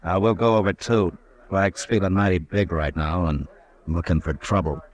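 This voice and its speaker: An actor playing a steady frontier marshal in a 1950s radio drama, speaking with calm, measured authority. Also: male voice, low voice, highs cut off, close to an everyday voice. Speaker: town marshal.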